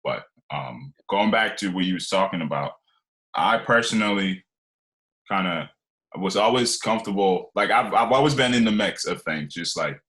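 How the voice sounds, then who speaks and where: Very deep-voiced young man from Liberia. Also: expressive, moderate pace, a bit bright, rough recording. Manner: loose, rambling, many fillers and restarts. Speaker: podcast guest. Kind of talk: casual conversational storytelling.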